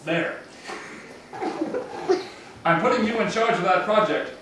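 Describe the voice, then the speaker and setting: A man's voice: high voice, unhurried. A man playing a gullible, jovial king in an amateur puppet show. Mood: jovial, careless. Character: gullible, jovial Persian king, casually handing out decrees. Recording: echoey room, distant mic, faint noise.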